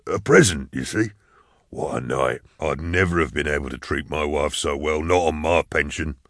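A man voicing a fat, gruff man in his sixties, an old soldier and building caretaker in an audiobook. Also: extremely low pitch, normal speed, a bit bright, no echo, clean studio sound, pitched below the performer's own voice. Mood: chatty, respectful. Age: 60s. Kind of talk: audiobook character dialogue.

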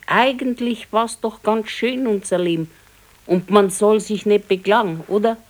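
A woman's voice: medium pitch, natural voice. An actress playing an old grandmother and grumbling, practical wife in a radio play, speaking tersely and dryly with a Bavarian accent. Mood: consoling. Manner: terse, matter-of-fact, dryly contradicting. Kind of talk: naturalistic radio play dialogue.

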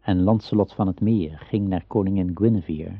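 A man narrating an Arthurian legend in a radio play, measured and solemn like a storybook teller. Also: low voice, breathy edge, no room sound. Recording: dull old radio drama recording.

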